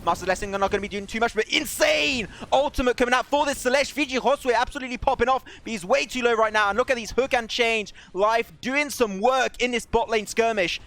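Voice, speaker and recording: A male esports caster giving excitable play-by-play commentary with a hoarse, fading voice. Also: very high voice. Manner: excitable, rapid play-calling. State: hoarse, losing his voice.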